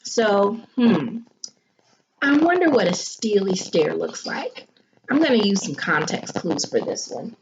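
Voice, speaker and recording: A woman schoolteacher reading a children's picture book aloud expressively, pausing to think aloud and put questions to young listeners. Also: medium pitch, big pitch swings. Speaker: elementary school teacher. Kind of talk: animated children's picture-book read-aloud with teaching asides. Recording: muffled audio with clear background noise.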